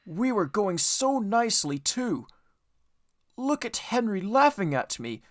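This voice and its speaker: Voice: medium pitch, big pitch swings, takes it slowly, pitched above the performer's own voice. A narrator voicing a proud, rude male express steam engine who pants and complains. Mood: weak, dismayed. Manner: panting, complaining.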